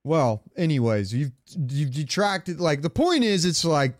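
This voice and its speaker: A man's voice: on the high side, very animated, measured pace. A man co-hosting a casual podcast, debating game lore in an argumentative, insistent way and often repeating himself. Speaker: podcast co-host.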